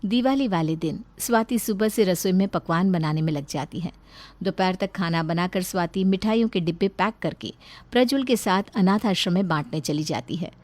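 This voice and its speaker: A woman's voice: fairly low pitch, moderate pace, darkish tone, no echo. A woman narrating a scripted story.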